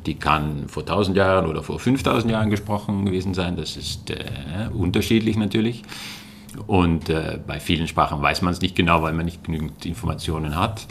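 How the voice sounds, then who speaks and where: Deep gravelly man. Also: a little fast, slight background noise. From Netherlands. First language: Dutch. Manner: hesitant, many fillers and restarts. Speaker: guest, linguistics lecturer. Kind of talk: casual interview answers.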